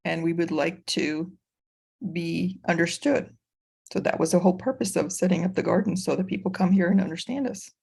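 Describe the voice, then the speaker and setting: A middle-aged woman explaining her community project on a webinar panel, in a measured, halting way with pauses. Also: lowish voice, vocal fry, darkish tone, husky. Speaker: community garden project leader.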